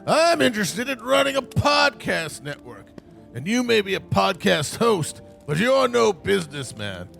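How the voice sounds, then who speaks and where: A man voicing a pompous, sneering old miserly businessman villain with a gravelly voice in a comedic radio play. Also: high-pitched, big pitch swings, a little slow, a bit bright, heavily acted. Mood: scornful. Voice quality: creaky, gravelly. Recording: music behind the voice.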